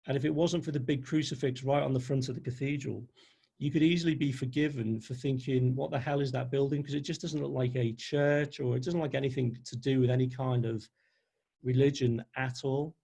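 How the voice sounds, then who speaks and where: A man's voice: mid-range voice, warm tone. A man with a Liverpool accent giving a chatty live webinar as an NLP trainer, reading out audience answers as he goes. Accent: Liverpool (Scouse). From Liverpool, England.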